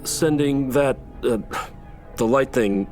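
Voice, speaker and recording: A man's voice: medium pitch, takes it slowly, a little mellow, soft background music, close to an everyday voice. A man playing a bewildered, exasperated hero in a fantasy table read, stammering and flustered. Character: Cassidy, bewildered, exasperated male hero, lone survivor.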